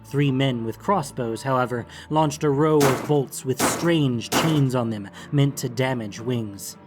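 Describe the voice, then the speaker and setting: A man's voice: medium pitch, measured pace, music in the background. A man reading scripted, dramatic fantasy narration as an audiobook narrator.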